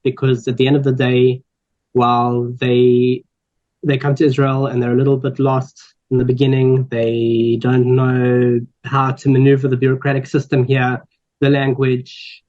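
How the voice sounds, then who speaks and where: A man's voice: mid-range voice, completely flat delivery, a little slow, darkish tone. A man in his twenties from South Africa, with a South African accent, introducing himself on a panel, earnest and full of ums and restarts. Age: 20s. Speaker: panel speaker, volunteer. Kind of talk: personal introduction at a panel talk. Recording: slightly dull lo-fi audio.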